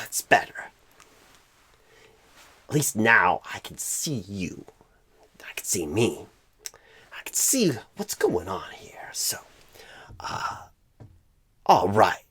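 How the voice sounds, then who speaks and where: A man voicing a clueless, flustered job recruiter in an ASMR roleplay, rambling with odd pauses mid-sentence. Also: fairly low pitch, husky, no echo, full character voice. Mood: distracted, flustered. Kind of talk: ASMR roleplay, comic improvised monologue.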